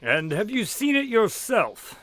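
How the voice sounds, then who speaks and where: A man playing a courteous doctor and investigator in a radio drama, with polite, formal, measured phrasing. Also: high voice, sing-song, fairly bright tone, dry recording, heavily acted. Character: courteous male doctor and investigator recounting a case.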